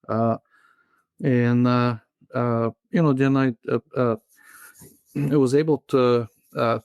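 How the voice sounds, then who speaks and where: A man in his thirties from Bulgaria with a Bulgarian accent, a guest in a casual interview who talks in a halting way, full of fillers and restarts. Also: medium pitch, darkish tone, smooth, dry recording, clean studio sound. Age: 30s.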